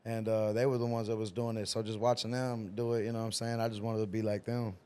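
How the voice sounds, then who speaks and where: A man's voice: on the low side, level tone, fast talker, darkish tone, clean tone. A young man from Texas, a rapper guest talking in a laid-back, slangy way. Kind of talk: casual chat.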